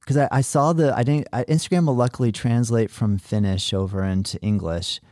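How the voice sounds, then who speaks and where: A man's voice: medium pitch, steady tone, brisk, mellow, no room sound, studio quality. An American man hosting a podcast interview, talking casually and heaping enthusiastic praise on his guest. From United States. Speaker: podcast host.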